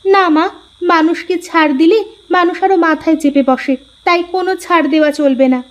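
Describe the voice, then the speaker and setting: A woman's voice: extremely high pitch, fairly even intonation, moderate pace. Stubborn, complaining young wife, acted by a woman. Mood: indignant. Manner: insistent, complaining. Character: aggrieved young daughter-in-law, stubborn and complaining. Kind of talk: Bengali cartoon voice acting.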